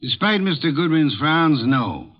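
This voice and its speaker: An actor playing an imperious, surly gourmet detective in a 1950s radio drama, with pompous, curt, precise formal diction. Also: male voice, on the high side, big pitch swings, a little slow. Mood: dismissive, haughty. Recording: dull, muffled vintage radio recording.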